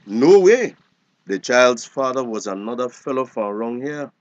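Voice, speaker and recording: A man's voice: medium pitch, animated, moderate pace, no echo, muffled recording, natural voice. An actor playing a weary, bitter old grandfather in a screen drama, with a Belizean accent. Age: older.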